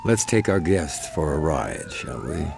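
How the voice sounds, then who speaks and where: A very deep, gravelly crime boss, scheming and contemptuous. He drawls slowly, pitch swinging wide as he toys with people. Every sarcastic line carries a quiet threat. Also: expressive, background noise, exaggerated voice. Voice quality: gravelly, mocking, slow menace. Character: sly, sneering male crime boss. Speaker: gang's scheming partner. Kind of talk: video game cutscene acting.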